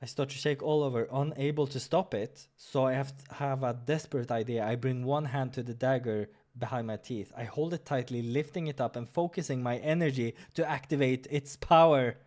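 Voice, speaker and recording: A man's voice: mid-range voice, moderate pace, hardly any pauses, darkish tone. A man reading a visual novel aloud as a let's player, dramatic with casual asides and the odd stumble, over music. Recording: music behind the voice.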